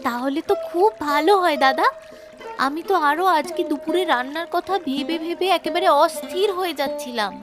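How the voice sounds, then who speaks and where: Cartoon village girl woman performer: high young voice, curious, earnest, talkative, faint music behind. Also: a little fast, close to an everyday voice. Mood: grateful. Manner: earnest, artless, inquisitive. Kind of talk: Bengali moral-tale cartoon dubbing.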